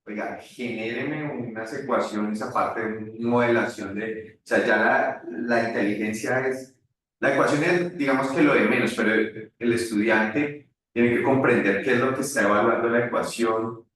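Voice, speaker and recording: A man teaching at a university, talking in a halting way with many restarts during an online class discussion. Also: mid-range voice, fairly bright tone, a little hiss or hum. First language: Spanish. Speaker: university lecturer. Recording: echoey room far from mic.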